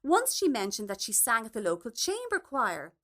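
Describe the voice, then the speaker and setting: A woman reading a literary short story aloud as an expressive, scripted narration. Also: a little high, moderate pace. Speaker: story reader.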